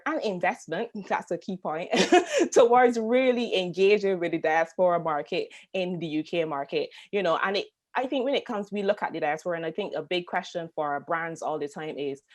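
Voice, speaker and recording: A woman from Barbados with a Barbadian accent, speaking as a panelist on a video call in an earnest, conversational way with many fillers. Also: on the low side. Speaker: panelist, travel marketing agency founder. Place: home, UK.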